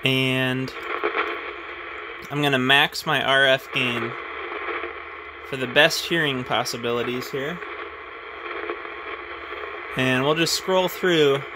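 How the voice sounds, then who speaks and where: A man's voice: medium pitch, fairly even intonation, takes it slowly, pauses often, noisy background. A man casually showing off his radio gear at his desk, thinking aloud with frequent "uh"s as he handles the equipment. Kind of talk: casual hands-on demonstration.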